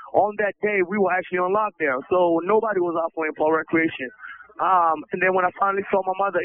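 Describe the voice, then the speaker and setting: A man calling in from prison, his voice slightly hoarse, speaking in a halting way with many fillers over a telephone line. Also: sits high. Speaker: incarcerated commentator calling in. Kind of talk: recorded phone commentary. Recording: tinny prison phone line.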